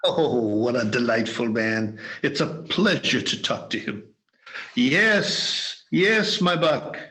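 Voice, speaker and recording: A man's voice: medium pitch, takes it slowly, pushed and bright. An actor playing a giddy, overjoyed old man in a radio play, exuberant and stammering. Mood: eager delight. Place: performed remotely over a video call.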